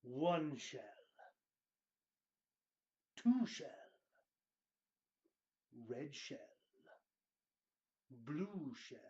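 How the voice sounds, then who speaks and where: A man giving a hammy, grandiose dramatic reading, drawing out his phrases with theatrical pauses. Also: a little high, animated, darkish tone, dull audio.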